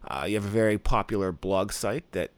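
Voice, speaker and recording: Cheery guy hosting a podcast, lowish voice, dry close sound. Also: a little fast, smooth, no echo. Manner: upbeat, says "awesome" often. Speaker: podcast host. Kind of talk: casual podcast chat.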